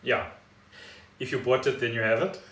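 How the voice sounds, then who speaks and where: A man's voice: medium pitch, fairly even intonation, normal speed, a bit bright. A South African man co-hosting a casual podcast, explaining things in a wry, conversational way. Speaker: podcast co-host. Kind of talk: casual chat explaining news. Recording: roomy, echoey home recording.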